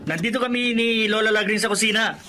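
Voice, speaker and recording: Young-sounding actor as courteous student son, brisk, grateful, radio drama, clear background noise. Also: male voice, high-pitched, close to an everyday voice. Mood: polite. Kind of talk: Tagalog radio drama acting.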